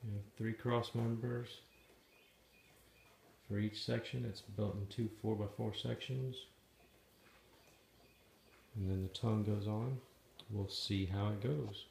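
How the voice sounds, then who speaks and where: Slow, gravelly-voiced man narrating a build. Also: fairly low pitch, steady tone, a little mellow, slight background noise. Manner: plain, matter-of-fact, halting with fillers. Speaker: DIY video maker. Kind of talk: casual step-by-step narration while assembling. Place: garage or shop and outdoors by a trailer. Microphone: handheld phone camera.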